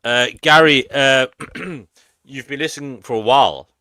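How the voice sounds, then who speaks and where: A man's voice: mid-range voice, measured pace, pushed and bright, no echo. A man hosting a live panel stream, chatting casually and informally with guests.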